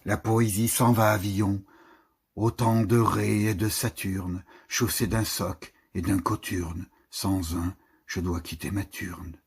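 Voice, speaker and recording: Slow, husky man reciting poetry. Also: low-pitched, fairly even intonation. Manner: deliberate phrasing in short measured fragments with pauses. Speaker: poetry reader.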